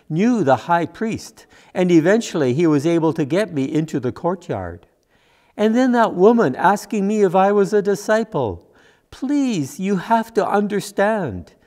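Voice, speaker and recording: An older man acts out an anguished disciple in a church monologue. He pleads haltingly and slowly in a fairly high male voice, with long hall reverb around him. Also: darkish tone. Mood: frightened pleading. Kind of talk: dramatic monologue in a church service. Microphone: gooseneck podium microphone.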